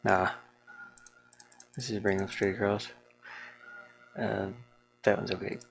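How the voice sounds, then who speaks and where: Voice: deep voice, little pitch movement, takes it slowly, creaky, breathy edge, faint music behind, low-quality recording. A game developer talking casually to themselves while they work, muttering with playful asides. The speaker's voice could be a man's or a woman's.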